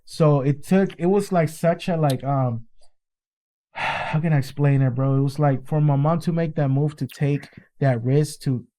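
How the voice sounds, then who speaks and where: A man's voice: on the high side, a little slow, mellow. A man from Peru, a guest artist who has children, telling his story in a casual interview inside a car. He talks in a loose, earnest way and keeps saying "bro" and "you know what I mean.". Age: adult with children.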